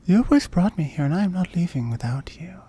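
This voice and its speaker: A woman's voice: lowish voice, expressive, fairly quick, warm tone, breathy edge. A woman playing a seductive, possessive immortal oni demon in an ASMR roleplay, often whispering, teasing and commanding.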